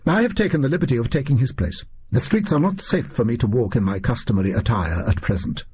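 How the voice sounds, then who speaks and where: Male reader as smug gentleman detective for a storybook: formal, precise, very husky, expressive, slightly dull recording. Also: mid-range voice. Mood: apologetic, urgent. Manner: smug, formal, deliberate diction. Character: conceited, precise male Victorian detective, theatrically mysterious.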